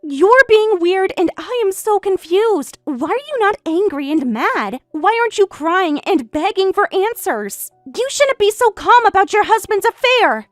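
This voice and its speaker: A woman playing a shrill, smug home-wrecker. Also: sing-song, measured pace, few pauses, dry recording, faint music behind, exaggerated voice. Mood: taunting. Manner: taunting, boastful, indignant. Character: smug, catty young woman who stole her best friend's husband. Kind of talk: voiced story drama.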